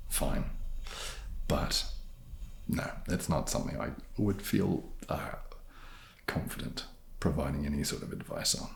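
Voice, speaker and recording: A soft-spoken man casually answering viewer questions in a gentle, wry way. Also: very deep voice, a little slow, vocal fry, pauses often. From New Zealand.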